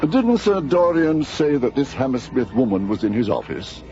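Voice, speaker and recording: An actor playing an earnest, proper doctor, a detective's sidekick in a radio drama. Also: male voice, medium pitch, animated, moderate pace, close to an everyday voice. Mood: studious. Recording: dull radio recording, faint music.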